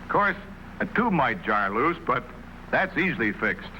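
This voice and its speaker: A man announcing a mid-century television commercial as a sales pitch. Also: big pitch swings, normal speed, bright, forceful tone, a little hiss or hum, poor audio. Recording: old TV ad soundtrack with music.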